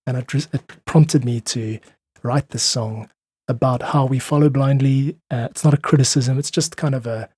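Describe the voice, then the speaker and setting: A man's voice: mid-range voice, steady tone, normal speed, hardly any pauses, a little mellow, husky, no room sound, studio quality. A man talking as a musician guest on a podcast, reflective and earnest. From South Africa. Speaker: interview guest, independent musician. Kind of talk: reflective conversational podcast talk.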